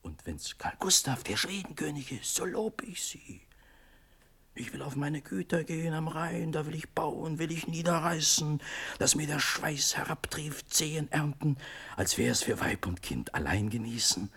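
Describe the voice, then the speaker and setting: A man's voice: on the high side, lively intonation, measured pace, gravelly, fairly bright tone, slightly rough, exaggerated voice. An actor playing a desperate young prince begging for his life, in fervent, declamatory classical radio-drama verse. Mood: feverish renunciation.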